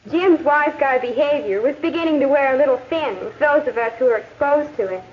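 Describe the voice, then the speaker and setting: Woman acting as earnest American high school girl, high voice, conversational 1950s classroom film narration, muffled soundtrack. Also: brisk, close to an everyday voice. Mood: earnest, confiding.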